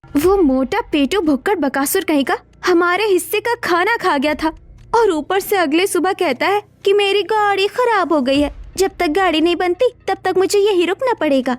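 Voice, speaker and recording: A woman's voice: very high-pitched, normal speed, dry recording. A woman voicing an overworked, exasperated young daughter-in-law in a cartoon, complaining indignantly. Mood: grumbling, mocking.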